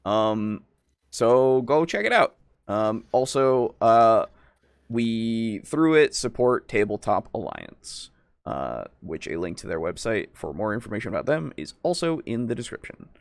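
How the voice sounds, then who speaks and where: A man's voice: lowish voice, sing-song, measured pace, gravelly, no room sound. A man hosting a casual livestream chat in an informal, rambling way with some swearing. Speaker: show host.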